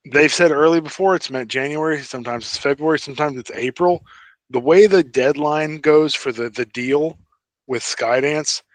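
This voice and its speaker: A man's voice: mid-range voice, dry recording. A man co-hosting a casual fan podcast, talking informally and giving his opinions freely. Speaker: podcast co-host.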